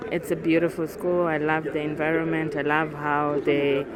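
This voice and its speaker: A man's voice: a little high, completely flat delivery, normal speed, a crowd in the background. A man giving short, unscripted answers in a vox-pop interview. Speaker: interviewed visitor at a school open day. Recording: noisy outdoor-sounding location interview.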